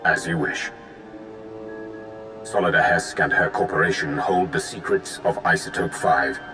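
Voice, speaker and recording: A man playing a solemn, loyal Sith lord and tactical advisor, speaking formally and gravely in a video game. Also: sits low, measured pace. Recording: music behind the voice.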